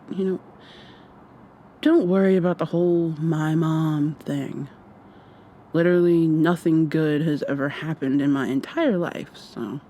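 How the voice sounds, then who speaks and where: A woman performs a weary human captive in a vampire roleplay. She is sardonic and deadpan, speaking slowly in a mellow, drowsy voice over faint noise. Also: low-pitched. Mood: weary, bitter. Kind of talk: ASMR roleplay.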